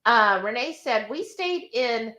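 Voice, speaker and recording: Lively woman hosting a live stream. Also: on the high side. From Texas. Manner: chatty and encouraging, with drawn-out asides. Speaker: host of live cooking stream. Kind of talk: casual live-stream chat, reading viewer comments aloud.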